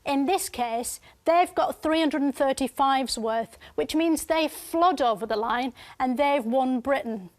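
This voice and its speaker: An actress playing a dim, earnest female TV presenter who explains things in a muddled, deadpan way. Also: high voice, measured pace, hardly any pauses. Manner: deadpan, muddled, matter-of-fact. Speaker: comic presenter explaining election.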